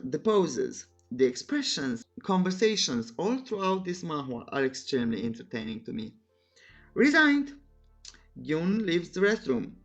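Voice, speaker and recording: A woman narrating a scripted story recap in a cheeky, tongue-in-cheek way with playful asides. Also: mid-range voice.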